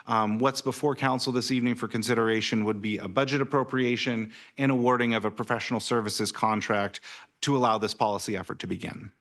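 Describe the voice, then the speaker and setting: A man from city staff presenting a report at a council meeting, halting with many fillers and restarts. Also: mid-range voice, fairly even intonation, fairly bright tone.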